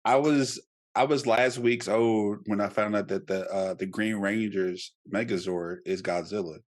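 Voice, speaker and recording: A man co-hosting a podcast in casual chat, playful and expressive, his voice congested from allergies. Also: measured pace. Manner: playful, expressive, jumps into high mock outrage. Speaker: podcast co-host.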